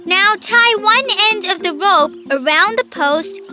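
A woman's voice: extremely high pitch, lively intonation, a little slow. A cheerful young cartoon girl. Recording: cartoon with music behind.

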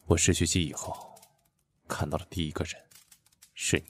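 A man playing a taciturn young swordsman who has lost his memory, speaking in terse, flat lines. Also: rumbling low, moderate pace, husky. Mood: calm, terse. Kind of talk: fan audio drama acting.

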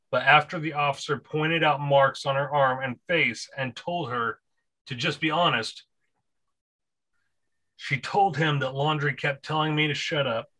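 Man, 30s, bright forceful voice, podcast host, steady reading, home studio mic. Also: medium pitch, low-quality recording. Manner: reads quotes from notes in a steady voice. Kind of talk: casual chat, reading aloud. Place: home studio with bookshelves and plant. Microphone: headphones with a large studio mic on a boom.

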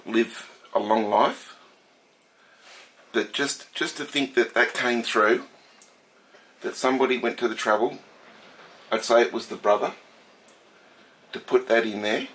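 Casting: middle-aged Australian man, nasal from a head cold, lowish voice, casual unhurried narration. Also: fairly bright tone, poor audio. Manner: slow, thoughtful, with frequent fillers and restarts. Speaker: steam engine collector. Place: home workshop room.

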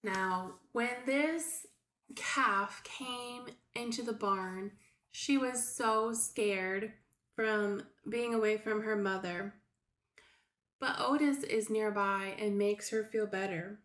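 A middle-aged woman teaching young children as a preschool teacher, explaining things simply with hesitant pauses. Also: mid-range voice, fairly bright tone. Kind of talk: read-aloud lesson for young children. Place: small room at home, indoors. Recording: roomy, echoey home recording.

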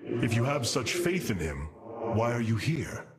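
A man's voice: mid-range voice, sing-song, measured pace, very noisy. An actor playing a noble, resolute male alien leader in a video game, speaking in a solemn, formal, declamatory way. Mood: stern. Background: loud orchestral game music.

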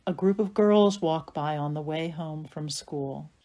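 A woman's voice: sits low, a little slow, mellow. A woman giving scripted narration, reading out stage directions for an audio play.

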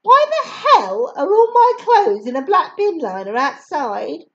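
A woman's voice: very high-pitched, big pitch swings, slow pace, darkish tone, highs cut off, pitched above the performer's own voice, exaggerated voice. A woman voicing a caring, fussing, indignant mother in a storybook reading. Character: worried caring mum.

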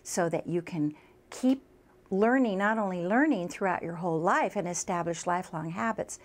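A woman's voice: lowish voice, normal speed. A woman speaking as a college instructor, explaining a program in an emphatic, promotional way. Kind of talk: explanatory promotional talk about a class.

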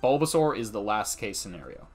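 A young man commenting casually on a game with a friend, excitable and sweary, repeating his phrases. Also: on the low side, fairly quick. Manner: profane, excitable, repeats himself. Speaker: livestream gamer. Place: indoor room, streaming webcam setup. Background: video game music.

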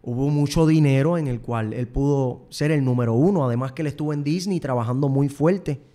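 A man's voice: medium pitch, fairly quick, dark tone. A young man with a Puerto Rican accent hosting a show in a rambling, repetitive, self-promoting comic monologue, close on a studio microphone. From Puerto Rico. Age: young adult. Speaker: show host and singer. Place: studio set, indoors. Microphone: close desk microphone with headphones.

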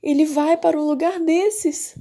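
A woman's voice: very high-pitched, fairly even intonation, a little slow, warm tone. A woman with a Brazilian accent voicing a jealous, prim, panicky rich teenage girl in a manga fan dub. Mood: panicked, indignant. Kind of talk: dramatic fan dub dialogue.

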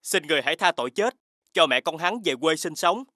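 An earnest, high-voiced young hero. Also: male voice, lively intonation, brisk, no room sound, clean studio sound. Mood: calm, resolute. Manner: earnest, upright. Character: honest, forgiving young male folk hero. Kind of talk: animated fairy tale acting. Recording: loud music behind the voice.

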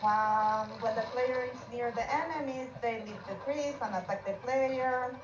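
A woman who is not a native English speaker, giving a casual, halting, slightly apologetic explanation as a game artist. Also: on the high side. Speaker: game artist presenting team project. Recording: roomy, dull online call with music.

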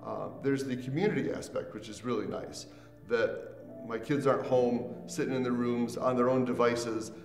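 Middle-aged man, creaky voice, unscripted testimonial, echoey room with music behind. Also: medium pitch, steady tone, normal speed, a little mellow. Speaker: parent and student giving a testimonial. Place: small indoor room with a couch. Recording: roomy echo, distant mic, faint music behind.

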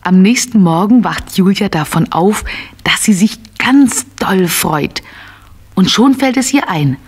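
Slightly husky woman narrating children's stories. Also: medium pitch, a little slow. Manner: expressive storyteller, simple phrasing. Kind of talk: scripted children's story narration.

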